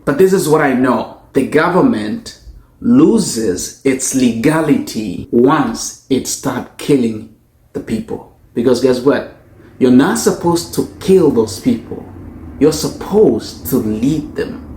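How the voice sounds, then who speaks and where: Tanzanian guy, pastor type, talking slow and emphatic, earnest personal statement, kinda echoey room. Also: mid-range voice, expressive, darkish tone. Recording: echoey, roomy sound.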